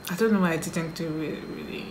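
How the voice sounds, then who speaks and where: A woman's voice: lowish voice, fast talker, roomy sound, slight background noise. A Nigerian woman in her thirties, a makeup vlogger chatting casually to camera and musing through get-to-know-me questions about herself. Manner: relaxed, musing, answers her own questions aloud. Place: small indoor room, sheet backdrop.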